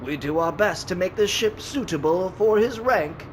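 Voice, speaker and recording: A man's voice: high voice, expressive, moderate pace, slight background noise. A man playing a courteous, dignified old butler with formal, deferential speech in an audio drama. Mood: polite, welcoming.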